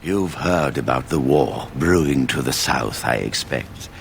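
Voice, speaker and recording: An actor playing an elderly, wry and fatherly head mage in a video game, speaking in a gravelly, formal, deliberate way. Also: rumbling low, lively intonation, slight background noise, full character voice. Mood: solemn, kindly. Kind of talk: measured video game dialogue acting.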